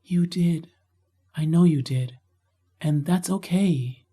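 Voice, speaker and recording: Man voicing a tender young heroine, raised pitch. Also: takes it slowly, warm tone, husky. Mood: tender, vulnerable. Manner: earnest, vulnerable. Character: young woman, tender, shy but curious storybook heroine.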